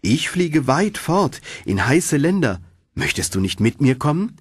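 A man's voice: fairly high pitch, sing-song, measured pace, slightly rough, no echo, studio quality, voice pushed up, full character voice. A man voicing a kind, eager little swallow. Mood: eager, coaxing. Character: small kind female swallow, grateful and eager.

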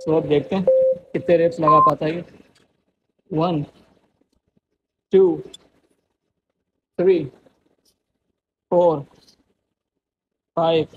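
A man's voice: on the high side, muffled recording, slight background noise. A man in his twenties chatting casually and counting reps as he vlogs in a gym. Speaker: gym vlogger. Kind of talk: casual vlog chat and rep counting. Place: busy gym, indoors.

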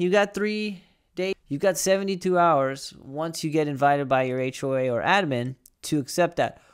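Clear-voiced young man giving a tutorial. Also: a little high. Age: young adult. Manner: explanatory, often checks in with "right?". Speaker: tech tutorial presenter. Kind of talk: conversational step-by-step tutorial. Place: small home studio with acoustic foam. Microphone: desk microphone, close.

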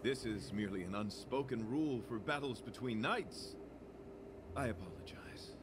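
An actor playing a courteous, earnest young knight devoted to beauty, speaking in formal, florid phrases for a video game. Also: male voice, medium pitch, expressive, normal speed, lightly acted. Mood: earnest, apologetic. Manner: formal, florid, chivalrous delivery. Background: game music.

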